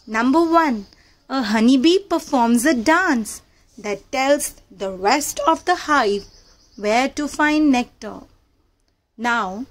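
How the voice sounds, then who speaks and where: A woman narrating a children's read-aloud book in a teacherly manner, addressing young listeners directly. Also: sits high.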